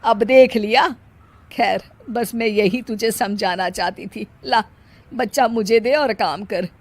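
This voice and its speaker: A woman's voice: a little high, takes it slowly, darkish tone. A woman voicing a nagging old mother-in-law. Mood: scolding. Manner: scolding, complaining. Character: older mother-in-law, nagging, sharp-tongued.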